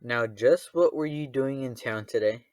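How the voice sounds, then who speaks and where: A man's voice: mid-range voice, measured pace, no echo, lightly acted. A man acting a stern, suspicious father, dry sound. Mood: suspicious, sarcastic. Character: gruff, suspicious father in a failing marriage. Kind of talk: radio drama acting.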